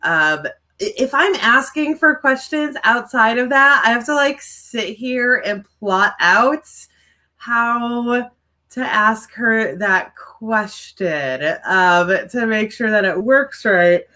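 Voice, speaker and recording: Bright-voiced woman with a mild stutter. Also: on the high side, expressive, slow. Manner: rambling, with many fillers. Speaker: writing livestream co-host and author. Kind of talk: casual chat to viewers.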